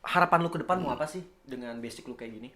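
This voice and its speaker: A man with a Jakarta Indonesian accent hosting a casual, jokey interview in slangy, expressive speech. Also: medium pitch, racing. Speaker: host. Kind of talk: casual joking interview chat.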